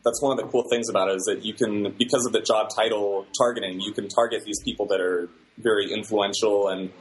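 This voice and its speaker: A man with a somewhat low voice explains advertising tactics as a podcast guest. He lays out his points steadily, and an "um" slips in here and there. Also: steady tone, rough recording. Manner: measured, explanatory, with fillers. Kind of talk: explaining marketing advice.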